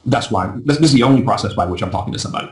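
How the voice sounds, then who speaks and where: Fast-talking man losing his voice. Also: mid-range voice, animated, reverberant. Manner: fast, chatty, self-deprecating, often asks "right?". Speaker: business coach teaching a live webinar. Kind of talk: casual live webinar teaching.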